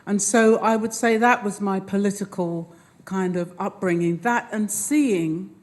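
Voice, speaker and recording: Thoughtful panelist, mid-pitched voice. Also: steady tone, measured pace. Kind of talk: panel discussion storytelling.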